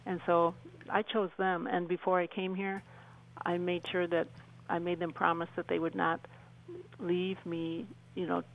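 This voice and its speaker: Female interview guest: gravelly fry, restrained intonation, earnest and reflective, halting conversational phrasing. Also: on the low side, flat delivery, low-quality recording. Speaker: radio show guest.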